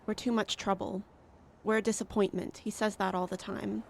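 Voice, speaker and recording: A woman playing a sullen, defeated youngest daughter in a radio drama, speaking in terse, resigned phrases with a creaky voice. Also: mid-range voice, flat delivery, moderate pace, lightly acted. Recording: faint outdoor roadside noise.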